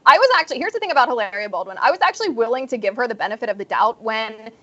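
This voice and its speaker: A woman from the Midwest speaks with a fairly high voice on a talk show panel. She presses her opinion emphatically and builds her case point by point. The sound is a little dull and lo-fi. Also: animated, racing, bright, forceful tone. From American Midwest.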